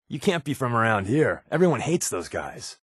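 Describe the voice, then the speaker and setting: A wisecracking undercover spy from a video game. He is an American man with a mid-pitched voice that swings freely up and down. His talk is glib, casual and sardonic, recorded close and dry. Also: sing-song, normal speed, breathy edge, no room sound. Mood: guarded, disapproving. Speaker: video game companion character. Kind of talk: video game voice acting, conversational dialogue.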